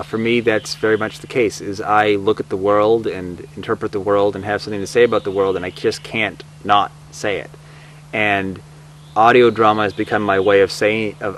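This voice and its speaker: A man speaking off the cuff in a documentary interview, explaining his creative work in a reflective way. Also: on the low side. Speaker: audio drama producer and writer. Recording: noisy recording with steady hum.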